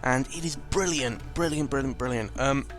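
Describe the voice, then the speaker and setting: A man's voice: medium pitch, moderate pace, a bit bright, soft background music. A man giving casual, rambling game commentary, informal and sweary, often correcting himself as he goes. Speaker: gaming video commentator.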